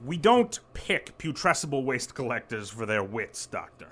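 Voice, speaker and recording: Man playing a snobbish, animated executive. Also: mid-range voice, moderate pace, background noise, natural voice. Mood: condescending. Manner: haughty, officious. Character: snobbish, bossy corporate executive, condescending. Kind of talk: audio drama acting.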